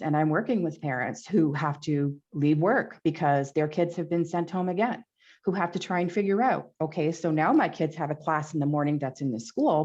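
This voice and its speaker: A woman's voice: low-pitched, darkish tone, muffled recording. A woman candidate giving a passionate forum speech, repeating rhetorical questions. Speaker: Green Party candidate. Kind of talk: debate closing statement.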